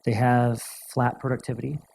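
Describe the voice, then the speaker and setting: A male guest speaker answering audience questions in a measured, thoughtful way, often restarting and repeating words. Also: medium pitch, little pitch movement, gravelly, darkish tone, a little hiss or hum, rough recording. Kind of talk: lecture Q&A answers.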